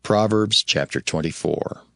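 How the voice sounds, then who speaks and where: A man's voice: lowish voice, unhurried, gravelly, sharp tone. A man making formal scripted chapter announcements as an announcer.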